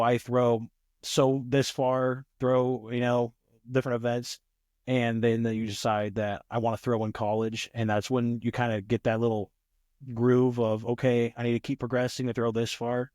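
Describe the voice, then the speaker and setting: A young man hosting a podcast, asking long, rambling questions peppered with "like" in a relaxed conversational way. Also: mid-range voice, moderate pace, dry recording, clean studio sound. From Nebraska, United States. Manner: rambling, heavy use of "like". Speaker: podcast host. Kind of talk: casual interview chat.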